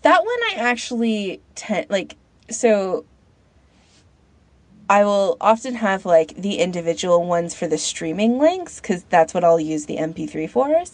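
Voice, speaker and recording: A woman's voice: medium pitch, expressive, a little slow, no room sound. A woman co-hosting a podcast in casual chat, rambling informally as she thinks aloud. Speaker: podcast co-host.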